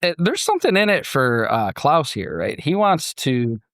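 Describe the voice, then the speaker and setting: Male podcast host: mid-pitched, conversational, storytelling style with acted-out asides, very dry recording. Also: big pitch swings, moderate pace, a bit bright, no room sound. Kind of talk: casual conversational podcast discussion.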